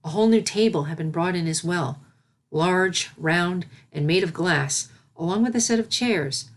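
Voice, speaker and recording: A woman's voice: fairly low pitch, normal speed. A woman narrator reading a scripted piece of fan fiction in storybook style.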